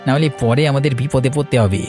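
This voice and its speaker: A man playing a sensible, resigned village farmer in a cartoon, advising in a measured, brotherly way. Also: mid-range voice, darkish tone, faint music behind, close to an everyday voice. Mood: resigned advice. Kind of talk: cartoon voice acting.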